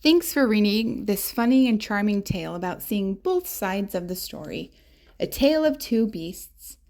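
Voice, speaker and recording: A woman hosting a children's storytime, reading picture books aloud in an expressive, deliberate way for young listeners. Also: mid-range voice. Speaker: host and reader of a children's read-aloud show.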